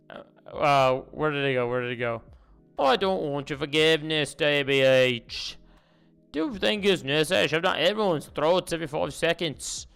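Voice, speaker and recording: A man's voice: on the high side, a bit bright, smooth. A young man giving casual stream commentary, ranting bluntly with plenty of swearing.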